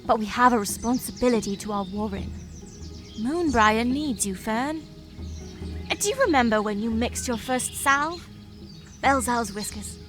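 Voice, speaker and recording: High-voiced, devout rabbit healer. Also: female voice, expressive, unhurried, over music. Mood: gentle instructing. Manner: earnest, instructive, pleading. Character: devout, gentle, fretful female rabbit healer mentor. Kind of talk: dramatic video game dialogue.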